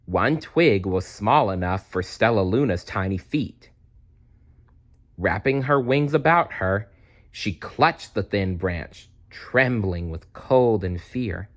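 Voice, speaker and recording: A man reading a children's storybook aloud as narrator, in a dramatic, deliberate way. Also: fairly low pitch, lively intonation. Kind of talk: expressive children's read-aloud.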